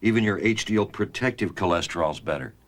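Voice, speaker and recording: A man's voice: lowish voice, fast, natural voice. A man playing a puzzled, sceptical hospital doctor, questioning and baffled, in a scripted dramatization.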